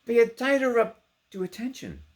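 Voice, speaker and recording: A man giving a theatrical, declamatory poetry recitation with sharp contrasts between hushed and loud delivery. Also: very high voice, wildly swooping pitch, normal speed. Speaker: poetry reader.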